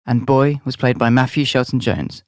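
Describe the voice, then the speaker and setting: A man's voice: medium pitch, steady tone, normal speed, no echo, studio quality. A man reading scripted closing credits as an announcer.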